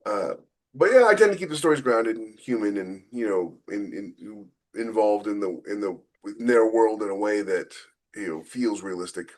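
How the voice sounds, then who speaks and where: A man's voice: fairly low pitch, normal speed. Wry man chatting on a podcast. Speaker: podcast co-host and novelist. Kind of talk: casual chat about writing.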